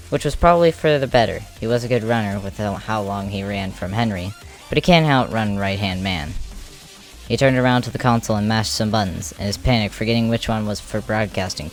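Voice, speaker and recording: A narrator with a medium pitch tells the action of an amateur comic dub. The storytelling is scripted and expressive, over a faint bed of music. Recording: music under the voice.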